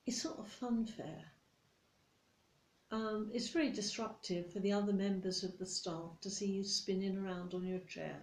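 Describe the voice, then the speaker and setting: A woman with a British accent playing a fussy, controlling office boss who scolds politely and condescendingly in a soft-spoken ASMR roleplay. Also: mid-range voice, roomy sound, a little hiss or hum, close to an everyday voice, rough recording. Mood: politely scolding.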